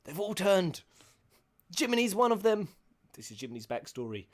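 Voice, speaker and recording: A man's voice: high voice, very animated, moderate pace. A man giving casual, playful and theatrical reaction commentary on video game cutscenes, full of mock-suspicious asides.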